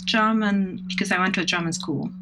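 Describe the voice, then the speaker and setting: A woman's voice: mid-range voice, brisk, heavy background noise. A woman from Egypt who works as a translator, speaking thoughtfully and repeating words as she searches for them. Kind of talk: reflective interview talk.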